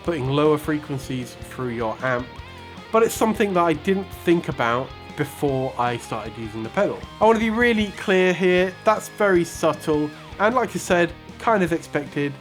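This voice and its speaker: A man hosting a review channel, giving a scripted product review with measured, emphatic presenter delivery. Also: a little high, lively intonation, soft background music. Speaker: host of a guitar pedal review channel.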